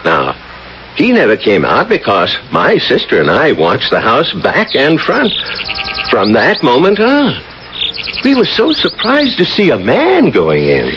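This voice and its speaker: An actor playing a nosy, chatty neighbour with a fussy, inquisitive manner in a radio drama. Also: male voice, medium pitch, huge pitch swings, measured pace. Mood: prying, excited. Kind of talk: 1980 radio drama acting. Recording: muffled, noisy 1980 radio recording.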